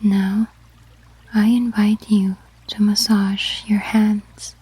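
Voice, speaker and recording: A woman leads a sleep meditation in a very breathy, whispered voice. Her delivery is slow and even, with little rise or fall in pitch. She gives each gentle instruction in a measured way, in a soft ASMR style. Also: no room sound, rough recording. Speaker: guided meditation narrator.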